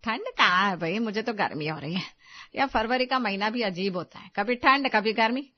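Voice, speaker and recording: A woman voicing a wry, exasperated but affectionate older mother-in-law in an animated family story, teasing and grumbling. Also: mid-range voice, moderate pace, muffled recording, natural voice. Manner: teasing, dry-witted grumbling.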